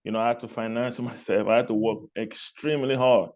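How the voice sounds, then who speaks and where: A man from Cameroon, answering interview questions in a relaxed way, repeating phrases and laughing lightly between points. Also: mid-range voice, a little fast, lo-fi sound. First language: not English. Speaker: guest, music artist and label executive.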